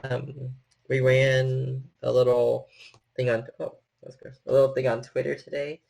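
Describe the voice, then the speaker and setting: A man's voice: mid-range voice, completely flat delivery, a little slow, vocal fry, darkish tone. A man narrating a tabletop horror game as its storyteller and host.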